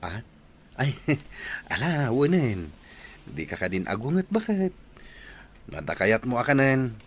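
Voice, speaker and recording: An actor playing a gentle, worried young husband and expectant father, with an Ilocano Filipino accent in radio drama style. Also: mid-range voice, big pitch swings, takes it slowly, slightly rough, heavily acted. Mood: tender, caring. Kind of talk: radio comedy-drama acting.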